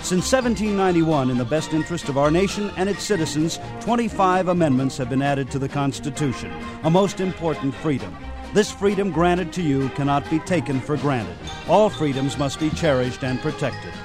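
An American man delivers a scripted radio announcement over clear background music. His voice is a little high, in the manner of a programme host. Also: expressive, moderate pace.

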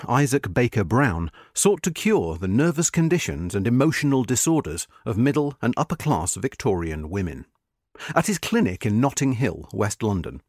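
A man reading a history article as scripted narration, in a measured, formal, carefully phrased way. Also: medium pitch, a little mellow, no room sound, clean studio sound.

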